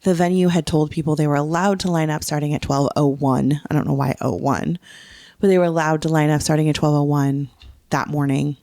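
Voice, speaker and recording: A woman's voice: low-pitched, fairly even intonation, brisk, warm tone, no echo, clean studio sound. A woman co-hosting a podcast, recounting things in casual, conversational storytelling. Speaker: podcast co-host. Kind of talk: casual chat, recounting a trip.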